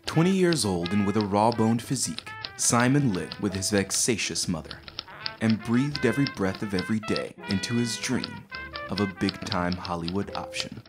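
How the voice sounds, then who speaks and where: A man's voice: fairly low pitch, animated, moderate pace, close to an everyday voice. A man narrating an audio drama as a solemn storyteller of macabre tales. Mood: measured, ominous. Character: solemn male storyteller introducing a macabre hotel mystery. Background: music under the voice.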